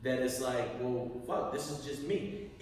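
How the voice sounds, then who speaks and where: A young man from Connecticut chats casually on a podcast, animated and profane, acting out other people's lines as he tells a story. He sits back from the mic, and his voice echoes around a reverberant room. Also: medium pitch, a little slow, far from the mic, slight background noise. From Connecticut, USA. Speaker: podcast co-host. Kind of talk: casual chat.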